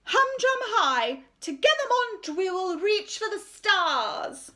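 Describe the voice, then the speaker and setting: A vain, pompous art teacher with a very high, swooping voice, as a woman puts her on. She is loud and slow, and she mangles grand words with fake French flourishes. The tone is bright and sharp. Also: very animated, full character voice. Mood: grandiose.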